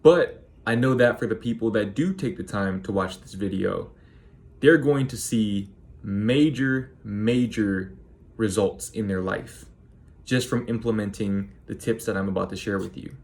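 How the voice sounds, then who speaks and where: A man in his twenties with a naturally monotone voice, a YouTuber giving motivational advice straight to camera and slowing down to stress key words. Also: lowish voice.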